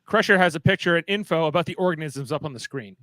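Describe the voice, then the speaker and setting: A man reads stage directions as the narrator of a radio play. His voice is a little higher than usual for a male. The sound is dry, with little room around it. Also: animated, fast talker, a bit bright, no room sound.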